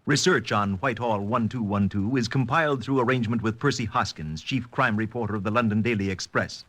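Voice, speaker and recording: A man's voice: on the low side, a little fast, fairly bright tone. A man announcing a radio crime series in a formal, scripted mid-century style.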